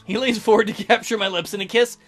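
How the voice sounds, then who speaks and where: A man's voice: sits high, steady tone, quick pace, faint music behind. A man hosting a let's play, reading a visual novel aloud and breaking in with crude, mocking jokes.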